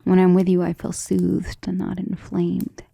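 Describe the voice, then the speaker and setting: A woman voicing a centuries-old vampire lover in an ASMR romantic roleplay, soft, breathy and often whispering, intimate and gentle, with formal wording. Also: fairly low pitch, monotone, measured pace, dry recording, heavily acted. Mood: admiring, affectionate. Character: centuries-old female vampire lover, tender, protective, self-assured.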